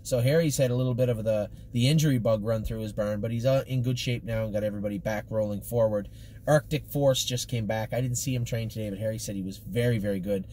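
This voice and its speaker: Middle-aged man, lowish voice, noisy car. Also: fast, warm tone. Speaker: stable commentator giving horse updates. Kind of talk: casual spoken update to camera. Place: inside a parked car. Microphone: camera or phone mounted at arm's length. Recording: clear background noise in a car.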